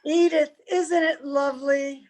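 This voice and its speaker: A woman's voice: sits high, fairly even intonation, measured pace, darkish tone. A woman playing an anxious, affectionate young-looking wife in an old-time radio play. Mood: delighted. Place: home, over a video call.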